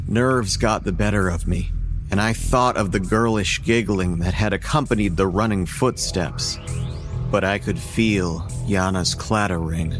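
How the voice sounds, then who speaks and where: A man's voice: low voice, unhurried. A man reading a scripted first-person horror story as its narrator. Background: music.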